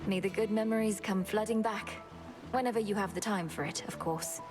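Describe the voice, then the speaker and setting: A woman voices a young witch princess in a video game. Her medium-pitched voice is formal, earnest and a little uptight, over music. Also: fairly quick, close to an everyday voice. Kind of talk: video game character acting. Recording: music behind the voice.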